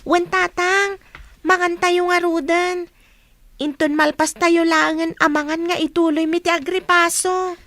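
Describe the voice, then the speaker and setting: A woman's voice: very high-pitched, little pitch movement, unhurried, fairly bright tone, heavily acted. A woman playing a dutiful, caring schoolgirl, the eldest daughter, in a radio drama. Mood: earnest. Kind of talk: Ilocano radio drama acting.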